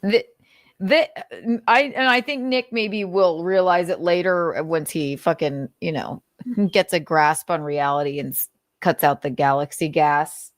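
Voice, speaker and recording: A woman's voice: low voice, a little slow, dry recording, studio quality. A woman reading notes aloud with casual, sardonic commentary, swearing freely and talking conversationally. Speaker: host of a commentary show.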